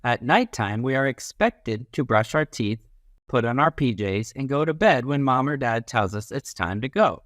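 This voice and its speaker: A man reading a children's book aloud as a teacher, in an expressive, instructive way that leans on key phrases. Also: mid-range voice, normal speed, dry recording, studio quality.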